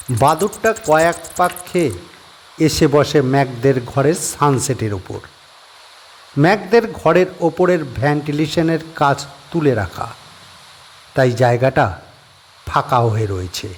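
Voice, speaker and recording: A man's voice: fairly high pitch, slightly rough. Man narrating a horror story. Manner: steady, descriptive storytelling.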